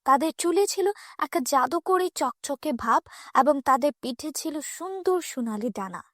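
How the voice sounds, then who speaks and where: A woman's voice: sits high, animated, normal speed, dry recording, studio quality. A woman narrating a scripted fairy tale for a children's cartoon.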